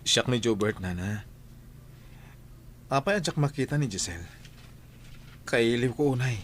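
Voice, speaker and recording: A man's voice: mid-range voice, animated, measured pace, a little hiss or hum, natural voice. A man playing a polite, earnest young suitor in a comedy radio drama, speaking respectfully.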